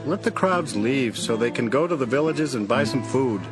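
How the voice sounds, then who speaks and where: Man acting a worried practical disciple, medium pitch, earnest, audio Bible drama, guitar music behind. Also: lively intonation, normal speed, lightly acted. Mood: concerned. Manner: urging, earnest. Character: concerned disciple urging his teacher practically.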